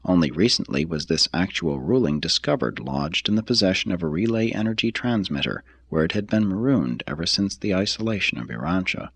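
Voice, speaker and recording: Really deep husky guy narrating a religious audiobook, solemn and measured, very dry close sound. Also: lively intonation, fairly bright tone, no echo. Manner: measured, solemn, formal delivery. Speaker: reader.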